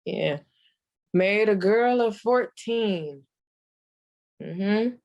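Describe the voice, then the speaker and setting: A woman playing a sassy old comedienne griping about her ancient husband, in the deadpan, drawn-out style of a 1940s radio stand-up monologue. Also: medium pitch, creaky, dull audio, exaggerated voice. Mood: dry teasing. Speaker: comedienne performer.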